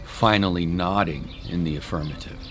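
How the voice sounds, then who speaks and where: A man's voice: sits low, normal speed, slight background noise. A man narrating a scripted dramatic story.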